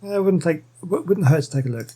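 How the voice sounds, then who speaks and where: A woman with a low, husky voice comments casually on a game. She sounds understated and musing, with a dark, soft tone. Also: expressive, quick pace. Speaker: gaming streamer.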